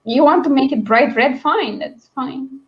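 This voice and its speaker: A woman's voice: high-pitched, a little slow, lo-fi sound. A woman hosting a livestream book discussion in a casual, rambling, chatty way. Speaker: host of a book read-along livestream.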